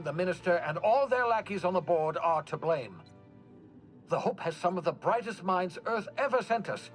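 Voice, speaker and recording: An excitable, conspiratorial older male scientist from a sci-fi game, acted by a man. He talks theatrically with wry asides and small hesitations, his pitch leaping and dipping widely. Faint music plays underneath. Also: close to an everyday voice. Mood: urgent, earnest. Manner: theatrical, wry, hesitating, swinging from chirpy to hushed. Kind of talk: scripted sci-fi game voice acting.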